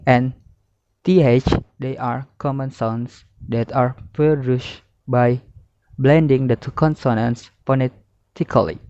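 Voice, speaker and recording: A young man reading a scripted lesson aloud as a student presenter, in a careful, halting way. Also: medium pitch, darkish tone, no echo. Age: young adult. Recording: muffled, dull lo-fi audio.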